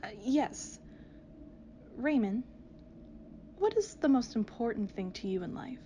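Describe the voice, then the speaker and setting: A woman's voice: fairly high pitch, very animated, measured pace, dark tone. A woman playing an eerie, composed stranger who probes others in a mystery radio drama, recorded a little dully. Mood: probing. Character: eerie, calm, probing mysterious female newcomer. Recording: dull lo-fi sound, faint noise.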